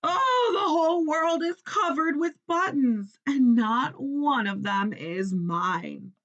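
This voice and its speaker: A woman's voice: high voice, animated, takes it slowly, fairly bright tone, muffled recording, voice pushed up, full character voice. A woman reading a storybook as a grumpy, easily upset male toad, whiny and exasperated, complaining with emphasis. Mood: angry, tearful.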